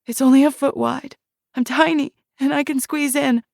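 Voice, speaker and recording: A frightened young woman's voice, pitched high like the small girl she once was, as a woman narrator puts her on. She recalls in breathless, trembling phrases, with a gravelly edge and a dry, close sound. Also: fairly even intonation, moderate pace, darkish tone, no echo. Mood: terrified.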